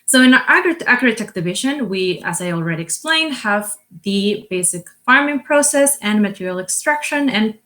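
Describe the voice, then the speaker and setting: A woman's voice: medium pitch, expressive, moderate pace, hardly any pauses, a bit bright. Woman presenting her company. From Costa Rica. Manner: earnest, explanatory, with frequent fillers and restarts. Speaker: company co-founder and CEO. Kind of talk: business presentation.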